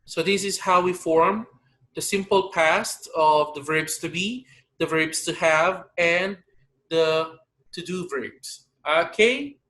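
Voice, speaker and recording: A man teaching an online English grammar lesson, slowly drilling verb forms pronoun by pronoun over a video call. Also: fairly high pitch, fairly bright tone.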